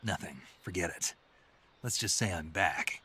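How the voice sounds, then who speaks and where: An actor playing a gruff, weary middle-aged male soldier in a video game, speaking tersely in a gravelly, husky voice. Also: expressive, measured pace, background noise. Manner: terse, low-key, questioning.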